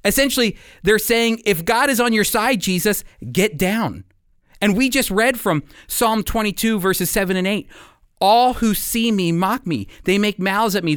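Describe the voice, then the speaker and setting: A man's voice: high voice, fairly bright tone, clean tone, no room sound, studio quality. A man preaching a sermon and reading scripture aloud in an earnest, emphatic manner with dramatic phrasing.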